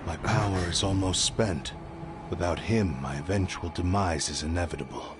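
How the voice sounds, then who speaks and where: A man's voice: low voice, moderate pace, noisy background, natural voice. A man voicing an ancient, proud sword spirit in a video game, grave, formal and weary as his power fades. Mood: resigned resolve. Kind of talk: video game dramatic dialogue. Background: prominent music.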